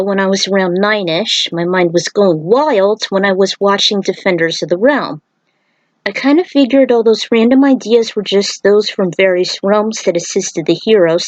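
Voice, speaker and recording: A woman's voice: mid-range voice, dry recording, highs cut off. A woman giving casual, emphatic fan commentary in an opinionated way, like an author narrating her own video.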